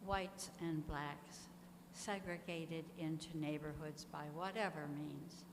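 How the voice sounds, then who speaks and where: Female discussion speaker, unhurried measured pace, frequent pauses, echoey noisy recording. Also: deep voice, fairly even intonation. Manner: measured, deliberate, pausing often. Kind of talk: reflective group discussion. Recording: echoey hall with clear background noise.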